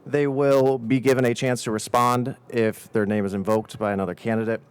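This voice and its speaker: A man's voice: mid-range voice, steady tone, fairly quick, smooth. A man moderating a student election debate, reading rules and roles aloud with the odd filler. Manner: reads roles out evenly, with some "uh" fillers. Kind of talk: formal announcements.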